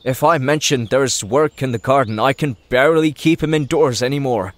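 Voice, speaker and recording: A man's voice: medium pitch, normal speed, dry recording, close to an everyday voice. A man voicing a humble, friendly middle-aged hobbit gardener, deferential and chatty, in an audio drama. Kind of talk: audio drama character acting.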